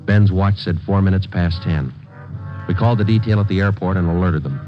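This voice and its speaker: Man playing stoic police sergeant, very low voice, American, clipped deadpan narration, muffled 1940s radio drama. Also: fairly quick, natural voice. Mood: matter-of-fact. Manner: deadpan, terse. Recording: dull old radio recording with music.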